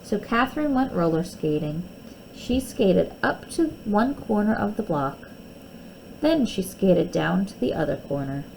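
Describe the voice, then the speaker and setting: Expressive woman reading a children's story. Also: medium pitch, measured pace, gravelly, a little hiss or hum, low-quality recording. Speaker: storybook reader. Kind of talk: children's story read aloud.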